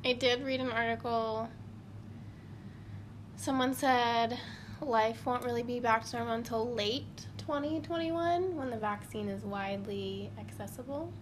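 A woman in her twenties chatting casually and telling animated stories. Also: a little high, measured pace, slight background noise. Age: 20s. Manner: animated storytelling, acts out quotes. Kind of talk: casual chat.

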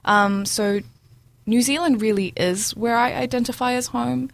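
Young woman from Brunei as a guest, relaxed and talkative, quick to laugh. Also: a little high, vocal fry. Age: 20s. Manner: chatty, laughs often, many ums. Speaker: guest, junior doctor. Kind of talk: casual interview chat.

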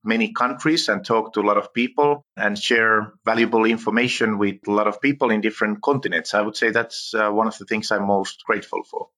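A man's voice: on the low side, fairly even intonation, a little fast, hardly any pauses, sharp tone. A man with a Finnish accent, answering interview questions earnestly, often searching for words and restarting. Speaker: guest, AI expert and author.

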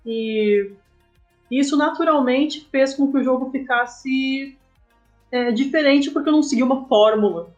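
A woman's voice: sits high, unhurried, lo-fi sound, faint music behind. A Brazilian woman speaking as a guest in a casual podcast interview, explaining her work and often checking in with short tag questions. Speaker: guest, comic artist and game writer.

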